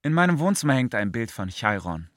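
A man's voice: mid-range voice, very animated, fairly quick, close to an everyday voice. An actor playing an articulate, well-read man in a radio play, explaining things in a colloquial, good-natured way. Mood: calm, explanatory. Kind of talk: radio play narration and dialogue.

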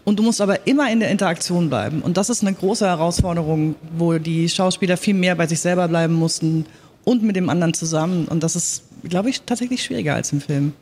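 A woman's voice: on the low side, fast, a little mellow. A woman speaking in a panel talk as a radio drama director, explaining her work in an earnest, emphatic way.

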